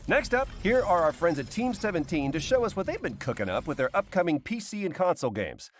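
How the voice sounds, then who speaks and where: Animated, fast-talking male presenter. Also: high voice, soft background music. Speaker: showcase presenter. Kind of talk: scripted presenting.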